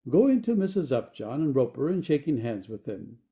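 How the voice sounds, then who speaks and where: A man reading the stage directions of an audio play as expressive scripted narration. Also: medium pitch, normal speed.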